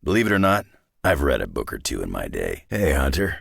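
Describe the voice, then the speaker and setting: An actor playing a gruff, century-old mutant superhero loner in a video game, with a gravelly North American voice and a dry, sardonic, clipped delivery. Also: male voice, a bass voice, sing-song, a little fast, a bit bright, no room sound, exaggerated voice. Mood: wry, low-key. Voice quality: gravelly, husky growl. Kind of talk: video game character voice lines.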